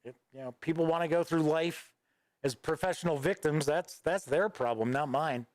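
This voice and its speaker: A man's voice: on the high side, moderate pace, dry recording. A man hosting a gaming news livestream, rambling casually as he reads viewer chat aloud and answers it, close on a desk microphone. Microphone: studio microphone at a desk.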